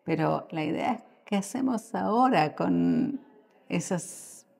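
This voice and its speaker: A middle-aged woman with an Argentine accent answering as an expert guest in a podcast interview, thoughtful and halting, pausing mid-sentence. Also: fairly low pitch, animated, vocal fry, a little mellow, breathy edge.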